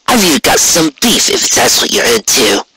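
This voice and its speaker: A man acts out a cynical young pony mare who deals drugs in a story. The delivery is cocky and brusque, bright and raised in pitch, with light noise on the recording. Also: huge pitch swings, normal speed, gravelly, full character voice, low-quality recording. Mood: salesy. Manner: cocky, brusque street talk. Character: cynical, spiteful young mare drug dealer, cartoon pony.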